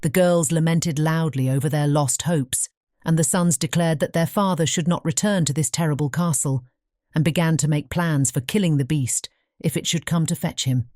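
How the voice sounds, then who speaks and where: A woman's voice: deep voice, normal speed, darkish tone, no echo, studio quality. A woman narrating a fairy tale audiobook from a script.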